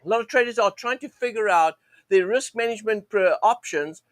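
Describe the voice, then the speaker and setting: Croaky high-voiced man hosting a trading stream. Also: fairly quick, fairly bright tone, no room sound. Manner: rambling, many fillers and restarts, "go ahead and". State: croaky, sore throat. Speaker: host of a live forex trading show. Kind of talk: live-stream teaching monologue.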